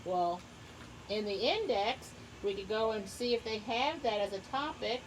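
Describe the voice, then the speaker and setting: A woman teacher reading a book aloud to young children, encouraging them and pausing to ask questions and explain things simply. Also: mid-range voice, lively intonation, background noise. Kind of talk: read-aloud lesson with asides and questions to children.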